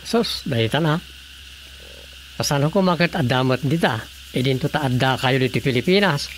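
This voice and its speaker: A man's voice: mid-range voice, animated, moderate pace, a little hiss or hum, lightly acted. An actor playing a good-natured Filipino family man in a radio drama, with an Ilocano accent. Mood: curious, easygoing.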